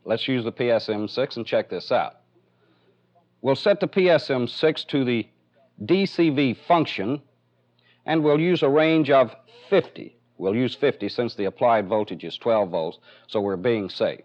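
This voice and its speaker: A man's voice: mid-range voice, lively intonation, a little slow. A man with an American accent giving a methodical, step-by-step technical demonstration as an instructor in a training film. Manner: methodical, plainly explanatory. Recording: dull, band-limited 1970s film soundtrack.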